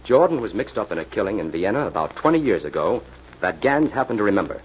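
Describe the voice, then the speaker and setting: A composed, confident detective, lowish in pitch with lively inflection. It is 1940s radio drama on a muffled old recording. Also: male voice, fast talker, a little hiss or hum. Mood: composed, wry.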